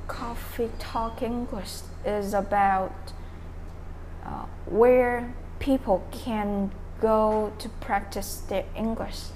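A young woman from Vietnam speaking English with a Vietnamese accent, answering interview questions hesitantly, often pausing and restarting as she searches for words. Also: mid-range voice, fairly even intonation, a little mellow, slight background noise, poor audio.

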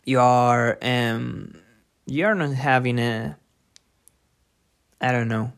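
A podcast host giving an informal lesson from a book, thinking aloud haltingly and repeating difficult words. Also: medium pitch, steady tone, vocal fry. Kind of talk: informal lesson read from a book with personal asides.

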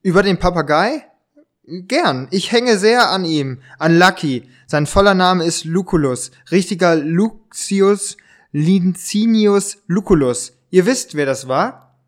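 A man playing a polite, agitated writer in an amateur German detective radio play, haltingly stumbling over his lines. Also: high voice, lively intonation. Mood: earnest.

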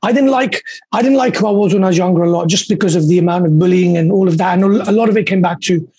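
A man with a husky voice, a podcast guest telling his story in a quick, earnest way and often repeating words. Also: high-pitched, dark tone, slight background noise. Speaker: podcast guest, dentist and coach. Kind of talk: candid storytelling.

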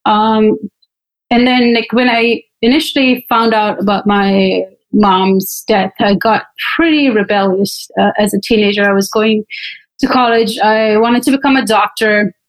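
A woman's voice: mid-range voice, steady tone, measured pace, rough recording. A woman from Pakistan, a guest on a podcast, recounting her life in a conversational way with frequent fillers. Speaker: interview guest. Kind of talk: personal storytelling in an interview.

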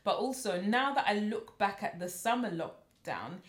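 Loud, lively woman podcast host, echoey room. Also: medium pitch, moderate pace. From London, UK. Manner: loud, animated, laughs often. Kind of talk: casual chat.

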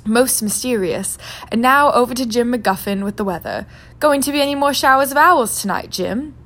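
A woman's voice: fairly high pitch, moderate pace, fairly bright tone, voice pushed up. A woman voicing a male television newscaster who reads the evening news in a formal broadcast manner.

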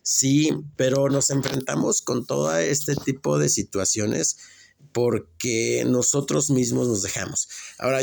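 A man's voice: medium pitch, creaky, a little mellow. A man with a Mexican accent giving a casual, conversational personal monologue and recounting exchanges in direct speech. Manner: conversational, acts out remembered exchanges. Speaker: speaker sharing personal experience.

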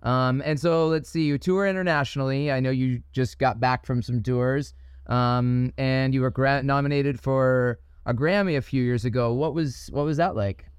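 A man's voice: medium pitch, normal speed, clean tone, no echo, clean studio sound. Chatty man hosting a podcast. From Ohio. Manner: chatty and upbeat, laughs often, many fillers. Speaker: podcast host. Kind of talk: casual interview.

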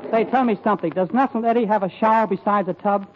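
A man's voice: sits high, quick pace, natural voice. A man playing a cheeky, needling, jealous sidekick in vintage radio comedy. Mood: pestering, jealous. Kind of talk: 1940s radio comedy acting. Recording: noisy, muffled vintage radio recording.

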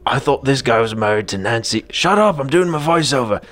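A man's voice: mid-range voice, lively intonation, fairly quick, a bit bright, lightly acted. A man playing a bumbling hard-boiled detective who narrates his own case in a rambling, mock-dramatic way, in a parody radio drama. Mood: brooding, muddled.

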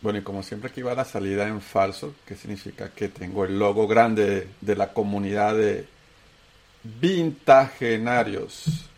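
A Colombian-born man with a Venezuelan accent, hosting a casual talk show and telling his story with animated emphasis. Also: fairly low pitch, measured pace, creaky, a little hiss or hum. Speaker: host. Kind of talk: casual chat.

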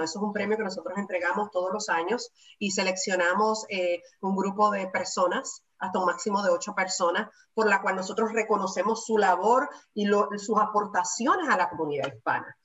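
A woman from a chamber of commerce, speaking warmly and emphatically about an award. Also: medium pitch, distant mic, highs cut off. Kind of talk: introductory praise.

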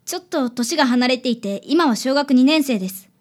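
A woman's voice: high voice, lively intonation, fairly quick. A woman playing a polite, worried university student and caring older sister in a radio drama. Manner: polite, sincere.

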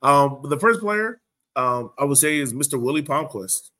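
A man's voice: a little high, expressive, normal speed, sharp tone. A man hosting a sports podcast, chatting casually and informally. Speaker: podcast host.

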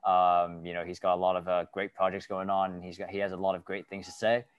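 A man hosting an interview podcast in casual chat, halting with many fillers and restarts. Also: deep voice, flat delivery, smooth, dry recording, lo-fi sound. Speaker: podcast host.

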